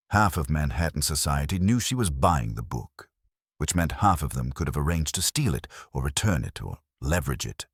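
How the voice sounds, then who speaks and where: A man's voice: extremely low pitch, slightly rough, no echo, studio quality. A man narrating a scripted first-person detective story in a wry, hard-boiled way.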